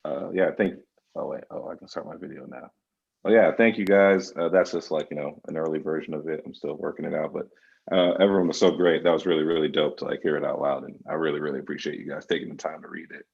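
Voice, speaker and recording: A man's voice: extremely low pitch, fairly even intonation, quick pace, creaky, a little mellow, husky, highs cut off. A man reading out screenplay directions and speaking casually as the writer at a table read. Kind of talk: screenplay narration.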